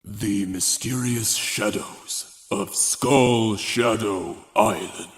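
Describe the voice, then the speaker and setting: A man playing a grave narrator of a boys' mystery adventure, theatrical, mock-serious and deliberate in his dramatic reading. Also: lowish voice, husky, no room sound, exaggerated voice. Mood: ominous, grandiose.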